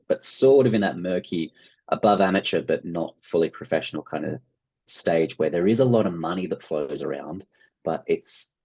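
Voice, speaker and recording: A man's voice: rumbling low, a little mellow, husky. An Australian man answering interview questions in a thoughtful, discursive, conversational way. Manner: thoughtful, discursive, hedging phrasing. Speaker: interview guest, playwright and actor.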